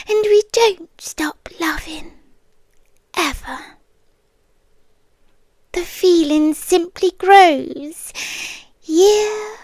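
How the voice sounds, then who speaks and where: A British woman playing a doting, possessive serpent-like monster girlfriend in a breathy, soothing and controlling ASMR whisper. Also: high-pitched, no echo, studio quality, heavily acted. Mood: obsessively devoted. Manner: slow, hushed, soothing and controlling. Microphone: close ASMR microphone.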